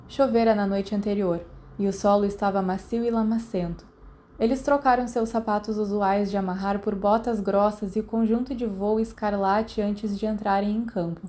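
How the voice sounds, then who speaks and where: A woman's voice: mid-range voice, dark tone. A woman with a Brazilian accent reading scripted audiobook narration. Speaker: podfic narrator.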